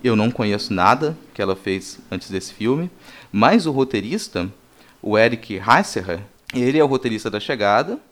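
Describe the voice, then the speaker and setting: A man's voice: lowish voice, lively intonation, moderate pace, fairly bright tone. Brazilian man hosting a discussion podcast. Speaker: podcast host. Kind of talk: conversational film discussion.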